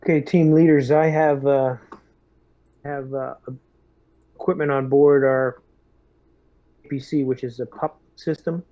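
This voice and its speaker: A man's voice: mid-range voice, steady tone, a little slow, pauses often. A man playing a tabletop role-playing game, talking through plans and questions with hesitant phrasing. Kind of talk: in-game planning talk. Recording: dull, muffled microphone.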